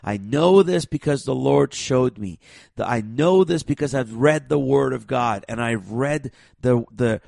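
A man hosts his own program with a raspy voice worn by a cold. His tone is gravelly and fry-laden, the sound close and dry. He talks with heavy stress and keeps stumbling into restarts. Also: mid-range voice, a little slow, darkish tone, no room sound. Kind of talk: emphatic monologue to viewers.

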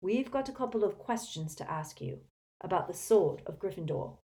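Woman as teenage boy wizard, blunt, determined, urgent, lively intonation, storybook character. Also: medium pitch, moderate pace, pitched above the performer's own voice, lightly acted. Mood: guarded. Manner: direct, urgent. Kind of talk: audiobook character acting.

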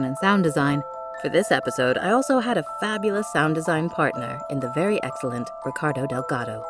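A woman with a low-pitched voice hosts a scripted podcast outro. Music with chimes plays clearly behind her as she reads. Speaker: podcast host and director reading credits. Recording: clear music bed under the voice.

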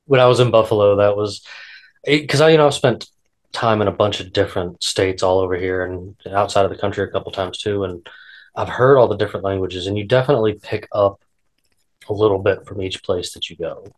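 Rambling lower-voiced man co-hosting. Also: fairly even intonation, a little fast, low-quality recording. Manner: rambling, repeats words as he thinks. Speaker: podcast co-host. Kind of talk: casual chat.

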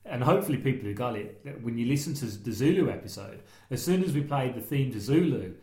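A man co-hosting a casual film podcast, enthusiastic and rambling, a little hoarse as he is losing his voice. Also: medium pitch, animated, fast talker, dark tone, reverberant. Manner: enthusiastic, rambling, many restarts. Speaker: podcast co-host.

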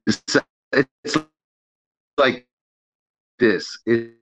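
A man talking slowly and hesitantly on a live show, searching for his words and hedging his views. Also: mid-range voice, a bit bright, a little hiss or hum. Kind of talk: casual live show discussion. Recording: dull, dry lo-fi audio.

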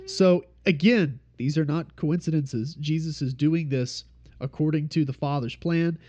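A man with a slightly high voice teaches an informal study group, explaining patiently and circling back to his main points. His nose is stuffed up from congestion. The recording is dull and lo-fi. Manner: steady explanatory teaching, pausing to repeat points.

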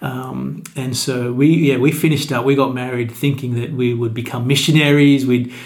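A man's voice: mid-range voice, fairly even intonation, normal speed. A man, a pastor chatting as a podcast guest in a friendly, easygoing way. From Australia. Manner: friendly, easygoing, with frequent restarts. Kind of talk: casual interview.

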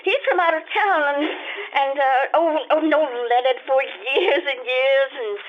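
Lady doing a flustered polite wife in an old radio play, high voice, tinny noisy sound. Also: unhurried. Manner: hesitant, repeating words, polite. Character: flustered, genteel married woman client, anxious and evasive. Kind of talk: old-time radio drama acting. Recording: tinny, phone-like, noisy amateur recording.

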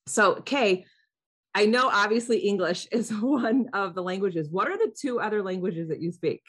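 A woman's voice: medium pitch, lively intonation, moderate pace, fairly bright tone. A woman hosting a podcast, chatting in an upbeat way that is full of "like". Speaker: podcast host. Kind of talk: podcast interview.

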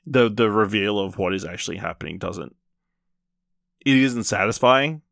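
Low, creaky-voiced man chatting about films. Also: lively intonation, normal speed, fairly bright tone, no room sound, studio quality. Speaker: podcast host. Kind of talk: casual film review chat.

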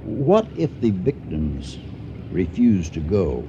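An actor playing a calm, shrewd elderly farmer, speaking in a measured, probing way in a radio drama. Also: male voice, low voice, exaggerated voice. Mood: wary, probing. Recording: dull lo-fi radio recording, faint ambient noise.

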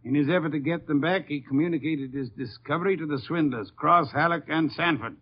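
An actor playing an imperious, brilliant gentleman detective in a 1950s radio drama, speaking formally and emphatically. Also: on the high side, fairly quick, breathy edge. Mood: calm explaining. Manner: pompous, formal, emphatic deductive speeches. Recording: muffled old radio broadcast.

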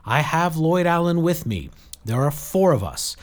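A man's voice: sits high, big pitch swings, unhurried, pitched above the performer's own voice. A man voicing a weary, determined woman in a story, direct and urgent in short sentences. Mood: patient. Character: weary, frank, determined woman leading a desperate group.

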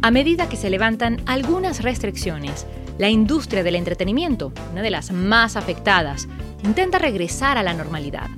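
A woman's voice: mid-range voice, moderate pace, music in the background. A woman presenting and interviewing on a radio-style show, reading a polished introduction and then asking questions. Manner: polished, expressive presenter delivery.

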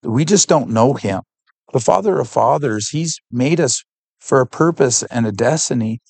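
A man tells his faith story with earnest, heartfelt conviction. He speaks at an ordinary pitch for a man and often pauses to find his words. The recording is dry and close, with almost no room sound. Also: lively intonation, creaky, a little mellow, slightly rough, no room sound. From Canada. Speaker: podcast guest, missionary. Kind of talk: personal faith testimony.

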